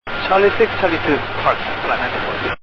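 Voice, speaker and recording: A man, a pilot, giving somewhat halting readbacks to air traffic control over aviation radio. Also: on the high side, expressive. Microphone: cockpit radio transmission. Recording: muffled aircraft radio with faint noise.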